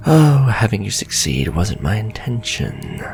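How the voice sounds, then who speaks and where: A man's voice: lowish voice, full character voice. A man playing a sly, sadistic, flirtatious warlock in an ASMR fantasy roleplay, murmuring breathily and taunting his listener. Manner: slow, taunting, breathy murmur with soft chuckles. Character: sly, sadistic, flirtatious male warlock striking a cruel bargain.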